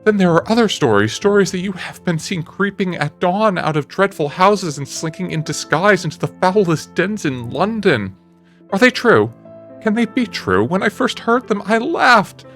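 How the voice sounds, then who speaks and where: A man voicing an earnest, anguished gentleman painter who pleads and moralizes with dramatic emphasis in an audiobook. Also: high-pitched, animated, moderate pace, faint music behind. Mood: pleading. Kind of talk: dramatic audiobook character reading.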